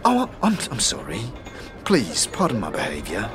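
A man's voice: on the low side, huge pitch swings, normal speed, fairly bright tone, soft background music. An actor playing a surly, harried construction foreman with sarcastic street slang in a fantasy audio drama. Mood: sarcastic, scornful. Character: gruff, harried, foul-tempered slum construction foreman.

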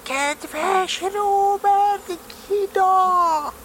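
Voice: extremely high pitch, very noisy. An actor voicing a naive, pompous little toy bear in a children's radio play, musing with hesitant dignity. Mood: anxious, calling out.

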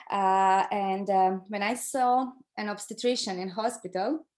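A middle-aged woman with a Croatian accent and a mid-pitched voice. She speaks as a guest sharing her own experience in conversation. Her English is a second language, and she often halts to find the right word. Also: fairly even intonation, a little mellow. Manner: halting, searching for words in second-language English. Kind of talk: conversational personal storytelling.

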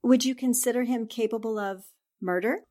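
A woman acts out a determined lady reporter in a forties radio play. Her fairly high voice is poised and polite, with a probing edge. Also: moderate pace, dark tone, lightly acted. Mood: polite, concerned. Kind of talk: 1940s radio drama acting.

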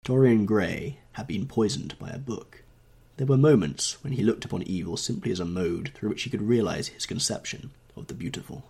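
A man with a slight cold reading a classic novel aloud as a podcast narrator, in a measured, dramatic way. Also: lowish voice, gravelly, dark tone, slightly rough. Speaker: audiobook narrator, podcast host. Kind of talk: scripted literary narration.

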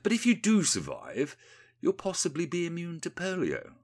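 A man's voice: on the high side, huge pitch swings, normal speed, pitched above the performer's own voice. A man voicing a creepy, condescending upper-class politician and undertaker with a pompous drawl in a satirical storybook parody. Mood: smug, menacing.

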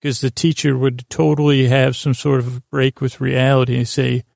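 Very creaky, gravelly man rambling softly along. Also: medium pitch, level tone, dry recording, studio quality. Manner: meandering, halting, self-deprecating. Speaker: podcast host. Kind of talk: rambling bedtime monologue.

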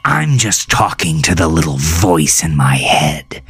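A man's voice: rumbling low, very animated, measured pace, vocal fry, fairly bright tone, slightly rough, no echo, heavily acted. A man voicing a menacing, unhinged male captor who is cruel, mocking and domineering, in an audio horror story.

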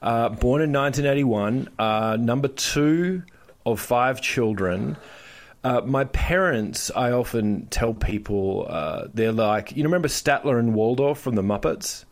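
A man's voice: medium pitch, unhurried. A man in his forties with an Australian accent, telling stories about his upbringing as a podcast guest, in a chatty, joking way. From Australia (Perth). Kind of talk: casual interview chat.

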